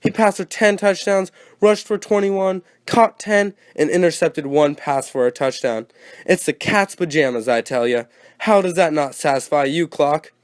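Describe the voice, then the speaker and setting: A man's voice: a little high, moderate pace, no echo, studio quality, close to an everyday voice. A man playing an eager, teasing 1920s radio sports co-host, peppy and earnest, full of period slang.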